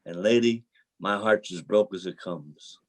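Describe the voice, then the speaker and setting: A man's voice: low voice, measured pace. A man playing a homeless drifter in a play reading, a cynical, bruised romantic who tells his story in a folksy, dramatic way. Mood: bitter, heartbroken. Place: remote video call reading.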